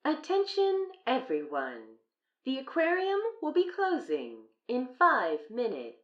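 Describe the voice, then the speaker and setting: A woman playing a polite, formal aquarium announcer over a public-address speaker, measured and singsong. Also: high voice, wildly swooping pitch, full character voice. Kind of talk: public announcement in an audio story. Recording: dull, echoey public-address sound.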